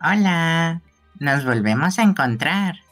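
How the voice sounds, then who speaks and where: A theatrical boy gravedigger from a video game, played by a man. He is morbidly courteous and wistful, and takes his words slowly over faint music. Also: a little high, animated, a bit bright. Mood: taunting. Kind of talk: fan game dubbing.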